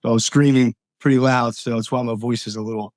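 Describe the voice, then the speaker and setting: Hoarse young man, upbeat guest. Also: medium pitch, fairly even intonation, fast, darkish tone, no room sound, studio quality. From Columbia, Pennsylvania. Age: 20s. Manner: upbeat, friendly, filler-heavy. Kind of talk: casual interview chat.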